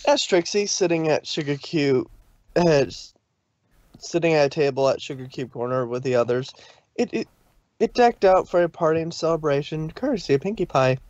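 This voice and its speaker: A man's voice: on the high side, animated, normal speed, a little mellow, no echo, highs cut off. A man narrating a fan fiction story from a script.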